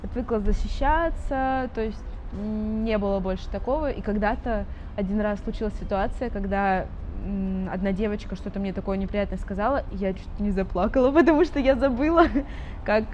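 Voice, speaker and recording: A woman's voice: medium pitch, a little fast, a little mellow, a little hiss or hum. A young woman in her twenties, born in Saint Petersburg, tells her life story openly in a documentary interview, with frequent fillers and light laughs. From Saint Petersburg, Russia. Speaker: interviewee, architecture student and drawing teacher.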